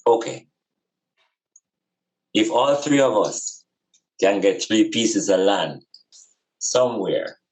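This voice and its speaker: A man's voice: lowish voice, low-quality recording. A man talking casually and reflectively about life in an earnest, emphatic way, repeating phrases for emphasis. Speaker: podcast guest speaker on spirituality and community. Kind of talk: casual reasoning, reflective spiritual talk.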